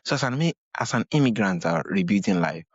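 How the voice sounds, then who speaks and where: A man's voice: fairly low pitch, expressive, fast talker, husky, dry recording. A man hosting an interview podcast, speaking hesitantly with many uhs and repeating words of praise. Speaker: podcast host. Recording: dull lo-fi dry mic.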